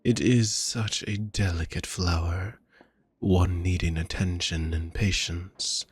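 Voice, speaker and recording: A man playing a doting, courtly vampire shopkeeper in an intimate audio roleplay, with a husky voice and gentle, flowery, formal speech addressed to the listener. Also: low voice, fairly even intonation, slow, full character voice. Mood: wistful, seductive.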